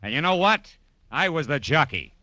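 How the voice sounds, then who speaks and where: An American actor playing a wry, world-weary private detective in an old radio drama, with slangy, clipped delivery. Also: male voice, fairly high pitch, very animated, moderate pace, bright, forceful tone, no echo. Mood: punchy, teasing. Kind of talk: 1940s-50s radio detective acting, first-person narration. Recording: vintage radio broadcast with music.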